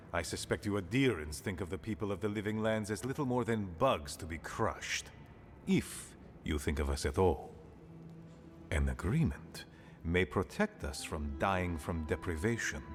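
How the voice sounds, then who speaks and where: Fantasy game: aging dwarf leader, low pitch with lively swings, grave, pragmatic, blunt, faint music behind. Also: male voice. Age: older. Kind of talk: fantasy video game dialogue.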